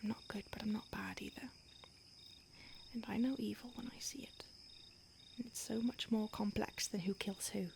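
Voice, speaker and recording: A woman voicing a starved, conflicted female vampire who stalks and craves her listener, speaking in a soft intimate whisper. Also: mid-range voice, steady tone, normal speed, vocal fry, pauses often, natural voice. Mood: grim, confiding. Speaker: audio roleplay performer. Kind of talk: whispered audio roleplay.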